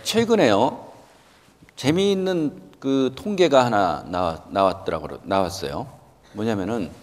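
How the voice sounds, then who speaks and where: Animated man in his fifties, reverberant hall. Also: on the high side. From South Korea. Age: 50s. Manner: emphatic, questioning the listeners directly, repeating key points. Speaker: guest lecturer, company executive. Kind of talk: motivational lecture to students.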